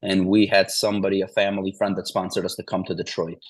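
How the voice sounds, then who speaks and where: Forties male guest from Ukraine; deep, even delivery with little movement, meandering with restarts. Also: fast talker, slightly rough, no echo. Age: 40s. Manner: meandering, restarts, repeats "the bottom line is". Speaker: interview guest. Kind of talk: casual interview storytelling.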